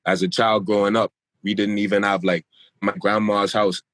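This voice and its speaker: A man's voice: fairly low pitch, little pitch movement, normal speed, fairly bright tone, dry recording. A young man with a light Bahamian accent mixed with South Florida, talking loosely as a podcast guest and often repeating himself. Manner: laid-back, rambling, repeats himself. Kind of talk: casual podcast chat.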